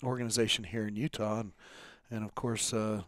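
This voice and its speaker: A man's voice: fairly low pitch, little pitch movement, fairly quick, a bit bright. A middle-aged guy trading banter across a cabin table on a hunting podcast, close-miked without echo. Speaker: podcast co-host. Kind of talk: casual, bantering podcast chat. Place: small wood-panelled room, around a table. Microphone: headset mic.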